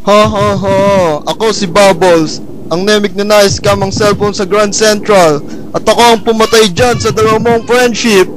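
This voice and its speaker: A man's voice: high-pitched, fairly even intonation, moderate pace, close to an everyday voice. A man playing a gloating, petty villain creature who taunts in slangy, theatrical fashion in a comedic radio drama. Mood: mock sympathy, gloating. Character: Bubbles, gloating trash-talking villain creature, mocking and petty. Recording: noisy homemade radio drama recording.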